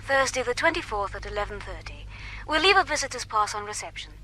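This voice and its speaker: An actress playing a businesslike female office secretary in a radio drama, brisk and formal. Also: a little high, sing-song, bright, forceful tone, a little hiss or hum. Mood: brisk, polite.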